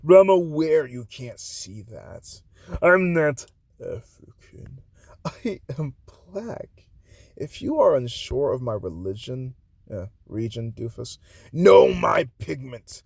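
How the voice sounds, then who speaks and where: A man from Haiti reading his own spoken-word poems aloud, swinging between loud declarations and hushed, breathy asides. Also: mid-range voice, very animated, takes it slowly, no echo.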